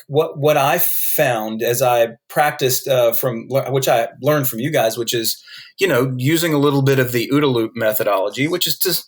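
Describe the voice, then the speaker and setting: A man with a North Carolina accent, a guest author explaining his ideas in a podcast interview, thoughtful and full of restarts. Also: mid-range voice.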